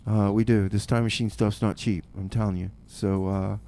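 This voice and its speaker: A man's voice: lowish voice, flat delivery, moderate pace, dark tone. A man hosting a community radio show, announcing casually and rambling, often repeating his phrases.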